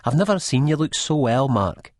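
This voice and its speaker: Audiobook role: worried, pleading woman played by a man, lifted pitch, husky, very dry sound. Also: sing-song, moderate pace, a little mellow, no room sound, lightly acted. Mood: earnest. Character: weary, concerned woman friend confiding in the narrator.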